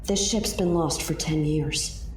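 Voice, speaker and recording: A woman playing a wary, sharp-tongued space investigator in an audio drama, with a skeptical, plain-spoken manner. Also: low voice, measured pace, a little hiss or hum. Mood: wry, skeptical.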